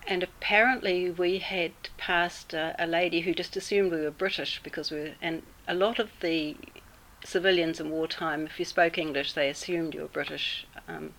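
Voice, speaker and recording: A woman with a New Zealand accent telling a personal story in an interview, unhurried and full of pauses. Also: lowish voice, fairly even intonation, fairly bright tone, poor audio. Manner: unhurried, with many fillers and pauses. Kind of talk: casual storytelling.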